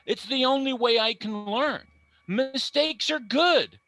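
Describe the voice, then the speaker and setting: A man's voice: extremely high pitch, takes it slowly, sharp tone, no room sound. A man encouraging learners, emphatic and playful.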